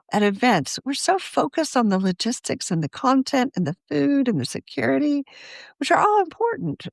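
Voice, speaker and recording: Woman reading an article out loud for a podcast, really varied intonation, measured and articulate, dry sound. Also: mid-range voice, no room sound. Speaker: magazine editor reading her own article. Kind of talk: scripted article narration for a podcast.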